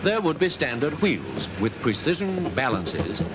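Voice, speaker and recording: A man's voice: a little high, wildly swooping pitch, measured pace, heavy background noise. A man with a British Received Pronunciation accent narrating a 1950s newsreel in a formal, polished, emphatic way. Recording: vintage 1950s newsreel soundtrack with music. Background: music.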